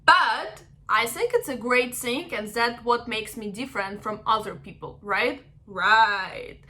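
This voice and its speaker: A woman's voice: on the high side, measured pace, pushed and bright. A young woman from Ukraine with a Russian accent, encouraging her viewers in casual talk to the camera and often checking in with "right?". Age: young adult. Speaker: vlogger.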